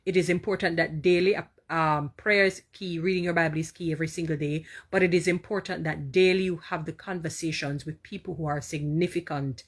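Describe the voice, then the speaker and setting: Chatty Jamaican woman, lowish voice. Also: fairly even intonation, fairly quick, hardly any pauses. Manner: warm, chatty, repeats herself, reads and answers viewer comments. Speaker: live-stream host. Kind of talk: casual inspirational live talk.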